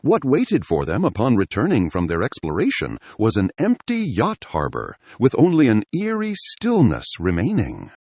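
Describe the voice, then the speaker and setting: Deep husky male story narrator, very animated. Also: moderate pace, hardly any pauses, no echo. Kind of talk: dramatic scripted story narration.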